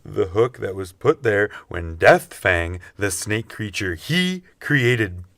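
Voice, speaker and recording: An eager, nervous rookie henchman for comic radio theatre. The voice is low and creaky, with casual, halting phrasing. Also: big pitch swings, a bit bright, no echo, studio quality, heavily acted. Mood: incredulous, uneasy. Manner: hesitant, stammering, casual. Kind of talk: comedic radio theatre acting.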